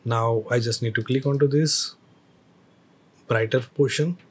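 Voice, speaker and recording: A man teaching a screen-recorded software tutorial, explaining each step with hesitant phrasing and fillers. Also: medium pitch, fairly even intonation, measured pace, slightly rough.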